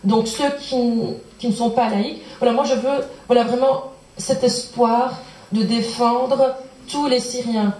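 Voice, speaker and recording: Earnest woman, searching words, mellow higher voice, echoey conference hall. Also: steady tone, a little slow. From Belgium. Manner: earnest, searching, circling back on her words. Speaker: audience member asking a question. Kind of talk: long audience question at a public lecture.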